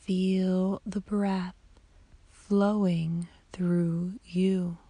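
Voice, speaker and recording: A woman guiding a sleep meditation as a yoga nidra teacher, in a soft, whispery, soothing voice with long, unhurried pauses. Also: fairly low pitch, completely flat delivery, no echo. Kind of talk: slow guided sleep meditation.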